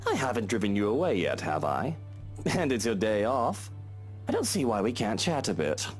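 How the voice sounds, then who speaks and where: Breezy, lively-pitched rich lord. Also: male voice, moderate pace, faint music behind. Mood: smooth, flirtatious. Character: casual, flirtatious wealthy lord of the mansion. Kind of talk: visual novel game voice acting.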